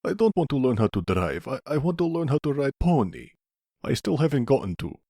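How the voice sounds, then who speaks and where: A man's voice: mid-range voice, big pitch swings, fairly quick, dark tone, no echo, studio quality, pitched above the performer's own voice. A man voicing a whiny, childish young man who stammers and sulks in a comic audio roleplay. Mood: whiny, sulky.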